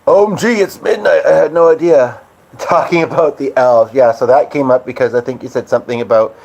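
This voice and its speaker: A man's voice: medium pitch, a little fast, creaky, darkish tone. A man hosting a live stream, chatting casually and meandering with lots of fillers and restarts. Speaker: podcast host. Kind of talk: rambling live-stream chat.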